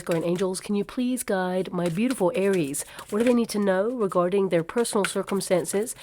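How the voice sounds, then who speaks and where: A woman with a slightly low voice gives a soft tarot reading to camera. Her voice is a little croaky from a cold, and she speaks in a gentle, soothing way with the odd um or uh. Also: a little hiss or hum. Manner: gentle, soothing, with filler ums and uhs. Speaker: tarot reader.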